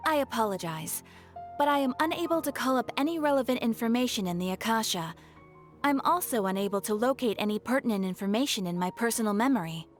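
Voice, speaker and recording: An actress playing a polite guild receptionist in a video game, speaking in a formal, measured, courteous way. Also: female voice, on the high side, lively intonation, soft background music, close to an everyday voice. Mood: apologetic, formal.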